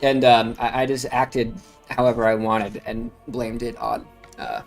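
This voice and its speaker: A man's voice: mid-range voice, fairly even intonation, measured pace, creaky. A man casually talking through crypto charts on a livestream, rambling with frequent fillers. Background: music playing.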